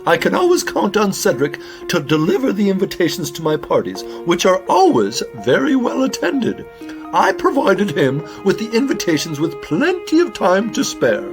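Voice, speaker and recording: A man's voice: high voice, huge pitch swings, music in the background, exaggerated voice. A man voicing a dramatic, genteel, fretful mother owl in a children's audio drama. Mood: earnest, defensive. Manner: theatrical, fretful, drawn-out emphasis.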